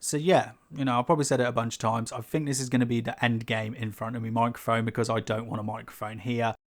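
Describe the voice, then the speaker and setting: A man's voice: medium pitch, little pitch movement, quick pace. Chatty man, sibilant. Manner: rambling, chatty, many fillers and asides. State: rough throat. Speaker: YouTube creator. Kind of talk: casual unscripted talk to camera. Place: small carpeted home room with foam panels. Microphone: pencil condenser on a stand above the speaker.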